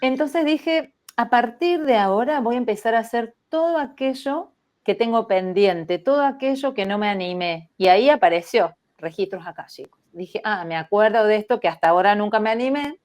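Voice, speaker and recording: A woman, telling her story as a podcast guest in a reflective, measured way that livens up when she quotes herself. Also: a little high, lively intonation, a little mellow. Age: 60s. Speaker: spiritual therapist guest.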